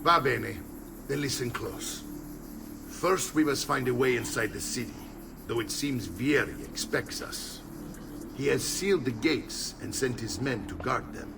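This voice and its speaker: A battle-hardened Italian uncle who leads and teaches assassins in a video game. His voice is creaky and authoritative, with an Italian accent and theatrical delivery. It sits a little away from the mic amid noticeable background noise. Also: medium pitch, distant mic. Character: commanding older Italian uncle, assassin mentor and war leader. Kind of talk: dramatic video game acting.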